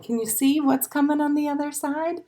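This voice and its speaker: A woman's voice: sits high, moderate pace, mellow. A woman who hosts children's storytime, reading aloud expressively and speaking simply and encouragingly to small children. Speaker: children's storytime librarian and host. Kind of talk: reading a picture book aloud to young children, with songs and asides.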